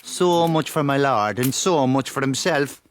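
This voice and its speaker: Man playing wry Irish peasant narrator for a radio play, medium pitch, folksy and lyrical. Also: measured pace, a little hiss or hum, lightly acted. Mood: vivid, storytelling. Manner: lyrical, colloquial. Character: Irish peasant storyteller, wry and loyal brother.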